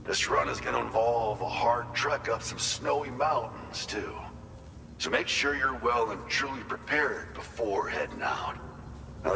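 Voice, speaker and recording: A man's voice: on the low side, pushed and bright, heavily acted. An actor playing a folksy, informal man handing out delivery orders in a video game. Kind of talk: scripted game dialogue, conversational briefing. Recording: long reverb, clear background noise.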